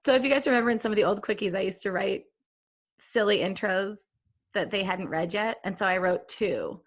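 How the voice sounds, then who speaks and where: A woman's voice: mid-range voice, brisk. A woman co-hosting a podcast over a video call, chatting in an easygoing, casual way. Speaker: podcast co-host. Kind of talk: casual chat.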